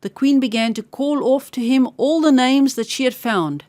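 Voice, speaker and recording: A woman reading a scripted fairy tale for children as a storyteller, expressive and carefully enunciated. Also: high voice, moderate pace, no room sound, clean studio sound.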